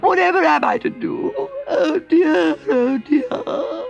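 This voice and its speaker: A man doing a wailing falsetto toad. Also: takes it slowly, creaky, muffled recording, soft background music, full character voice. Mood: weepy pleading. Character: boastful male toad faking a weepy washerwoman.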